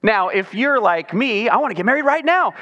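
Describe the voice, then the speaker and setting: A man with a high voice giving an explanatory sermon in a conversational, informal way, tossing in rhetorical questions. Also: animated, brisk, sharp tone, lo-fi sound. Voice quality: high-pitched voice. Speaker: preacher. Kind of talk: sermon, explanatory Bible teaching. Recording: long hall reverb.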